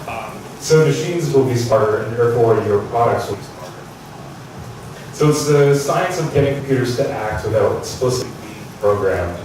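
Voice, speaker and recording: A man's voice: medium pitch, noisy background. Man giving a talk in an echoey hall. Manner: loud, engages audience with questions. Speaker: conference speaker. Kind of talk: tech conference talk. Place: large conference hall. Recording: echoey hall, mic from far off.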